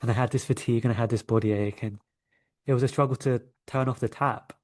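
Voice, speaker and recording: A man's voice: mid-range voice, fairly even intonation, quick pace, a little mellow. A man from London with a London English accent, telling stories conversationally as an interview guest. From London, England. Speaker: interview guest, coach and energy healer.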